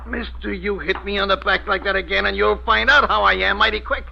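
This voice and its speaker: An actor playing a gruff, sarcastic police inspector in an old radio mystery, blunt and slangy. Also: male voice, sits high, steady tone, brisk, bright, forceful tone, slight background noise, exaggerated voice. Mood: gruff, annoyed. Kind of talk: 1940s radio mystery acting. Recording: dull vintage radio recording.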